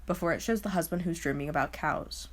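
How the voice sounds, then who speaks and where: A woman's voice: sits low, fast talker, over music. A woman narrating a casual scripted recap as a video host, with a wry, openly baffled manner.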